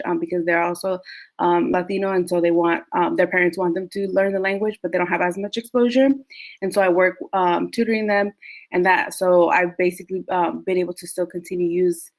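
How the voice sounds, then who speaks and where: A woman in her twenties whose first language is Spanish, speaking earnestly on a panel with many pauses and "um"s. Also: fairly low pitch. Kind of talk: panel discussion.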